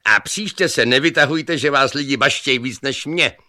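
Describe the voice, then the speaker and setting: Muž hraje drzého, netrpělivého a vychloubačného mladíka, který v povídce huláká a vytahuje se. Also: male voice, fairly low pitch, huge pitch swings, pushed and bright, no echo, clean studio sound, full character voice. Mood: smug. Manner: hollering, cocky. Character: brash long-haired young man called Luck, boastful, impatient.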